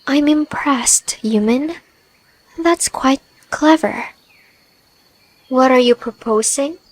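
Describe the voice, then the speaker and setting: A woman's voice: sits high, slow, dry recording, poor audio. A woman voicing a haughty, prickly spider-woman with a dry, scornful manner in an audio roleplay.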